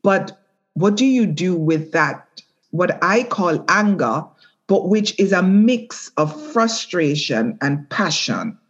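A middle-aged woman, a former prosecutor and judge, speaking earnestly and in a measured way in an interview. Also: on the low side, expressive, breathy edge, lo-fi sound. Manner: earnest, measured, with repeated restarts. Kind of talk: reflective interview talk.

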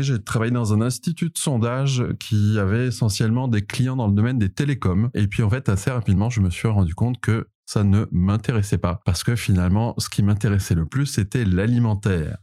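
A man's voice: on the low side, brisk, hardly any pauses, dark tone. A man in his forties with a Parisian accent, answering interview questions with many fillers and restarts. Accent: Parisian French. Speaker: podcast co-host, data specialist, interviewed guest.

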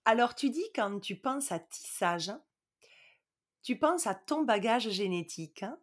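A woman's voice: on the high side, lively intonation, a little slow. A woman hosts an interview and introduces her guest. Her phrasing is lyrical and admiring, a little formal, with the odd small hesitation. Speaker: host. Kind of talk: interview host.

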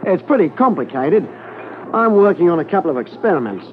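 An affable con man in a vintage radio play, polite and slippery about himself. His highish voice moves up and down freely, on a thin, narrow-band old recording. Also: very animated, a little fast. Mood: affable, evasive. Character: likable, smooth Australian smuggler posing as a scientist. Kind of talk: 1950s radio drama acting. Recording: noisy muffled 1950s radio transcription.

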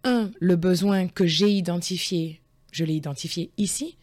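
Low-pitched woman telling her story. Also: normal speed, darkish tone. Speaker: interviewee. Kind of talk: personal storytelling in an interview.

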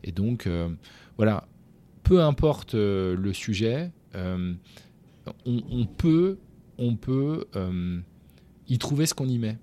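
Low-voiced, frank, chatty man. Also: takes it slowly, long pauses. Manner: frank and colloquial, lively, with many fillers and restarts. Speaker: company founder and CEO, guest. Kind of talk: casual conversational interview.

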